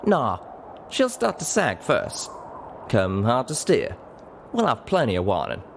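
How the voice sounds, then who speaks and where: Guy doing an easygoing country-style mechanic pony, drawly and folksy, bouncy pitch, story reading. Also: wildly swooping pitch, measured pace, creaky, slight background noise, full character voice. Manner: folksy drawl, casual grammar.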